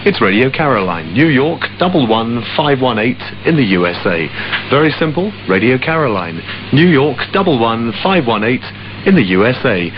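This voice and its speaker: A man's voice: medium pitch, very animated, moderate pace. Easygoing guy dj on offshore radio, chatty, a bit hesitant, muffled old am sound w/ music faint behind. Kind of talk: relaxed late-evening radio presenting. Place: offshore radio ship studio. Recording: dull AM radio aircheck with music under.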